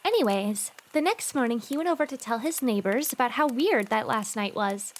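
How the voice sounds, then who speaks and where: A woman plays a sweet modern teenage girl. Her high voice swings widely in pitch, girlish and expressive with a touch of exasperation. It is fan audio drama with slight background noise. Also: normal speed, lightly acted. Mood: storytelling.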